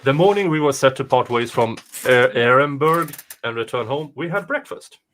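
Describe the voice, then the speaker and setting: A man's voice: medium pitch, animated, moderate pace, a bit bright, slight background noise. A man co-hosting a talk show, reading written passages aloud and chatting casually about them. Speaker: podcast co-host reading a document aloud.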